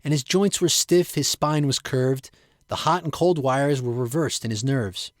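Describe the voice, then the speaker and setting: A man's voice: mid-range voice, unhurried, no room sound, studio quality. A man reading his own rhyming narrative poem as a storyteller. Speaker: poet reading own work.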